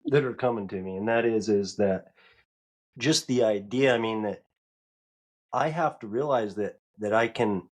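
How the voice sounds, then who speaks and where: A man's voice: on the low side, moderate pace. A man hosting a podcast interview, thinking aloud hesitantly with many restarts. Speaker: podcast host.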